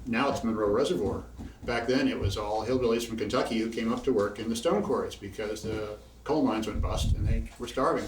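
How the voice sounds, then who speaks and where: A man in his sixties from Indiana telling rambling, chatty stories between tunes at a house concert. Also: mid-range voice. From Bloomington, Indiana. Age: 60s. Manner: rambling, chatty, chuckling asides. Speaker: banjo player telling stories. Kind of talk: casual storytelling at a house concert. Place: living room at a house concert. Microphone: far camera in the room. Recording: roomy, distant, faint room noise.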